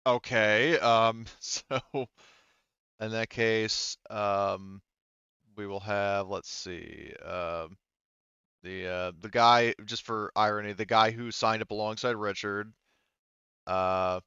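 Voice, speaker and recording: A man game mastering a tabletop roleplaying session, thinking aloud in an informal, halting way. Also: lowish voice, fairly even intonation, pushed and bright, smooth, no room sound, dull audio.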